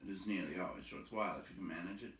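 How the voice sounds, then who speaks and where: A man reading a storybook aloud as an expressive narrator. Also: low voice, very fast, echoey room, distant mic. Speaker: storybook reader.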